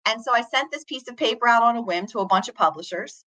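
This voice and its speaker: Bright-voiced woman telling a story. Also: fairly high pitch, fast, low-quality recording. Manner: earnest, steady and reflective. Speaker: teacher and author, podcast guest. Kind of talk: storytelling in an interview.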